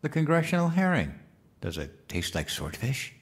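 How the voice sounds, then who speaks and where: A man acts out a naive American politician newly elected president in a radio-style comedy. His voice is gravelly and swings freely in pitch. He is bumbling and hesitant, earnestly missing the point. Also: wildly swooping pitch, normal speed. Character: dim-witted, naive male president-elect. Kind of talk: comedic audio drama acting.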